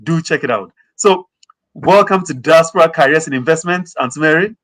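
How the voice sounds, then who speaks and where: A man hosting an online interview, speaking earnestly with frequent fillers and pauses. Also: a little high, a bit bright. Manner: earnest, many fillers like "you know" and "um". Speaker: host and interviewer.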